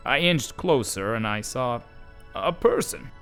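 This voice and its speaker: A man voicing an animated, bemused storytelling donkey. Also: medium pitch, unhurried, soft background music. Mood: amazed, startled. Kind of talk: children's audio drama narration.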